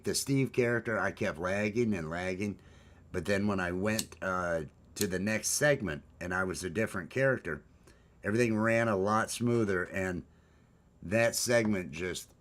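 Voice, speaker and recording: A man with a baritone voice hosts a casual morning chat, rambling with restarts and fillers. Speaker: host.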